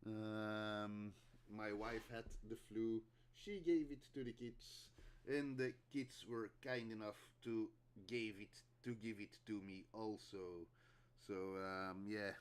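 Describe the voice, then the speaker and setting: A man with a Dutch accent, a native Dutch speaker recovering from a flu, chatting casually and chuckling while he streams his mask making. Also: fairly low pitch. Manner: relaxed, chuckles often, reads chat aloud. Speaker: cosplay mask maker streaming. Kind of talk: casual livestream chat while crafting. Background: faint music.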